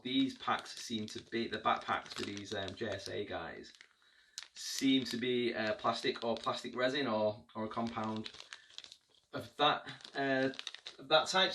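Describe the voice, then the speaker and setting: A man's voice: medium pitch, a little slow, recorded from a distance, background noise. A man giving a casual unboxing review of tabletop miniatures, chatty and hesitant, with many ums and restarts.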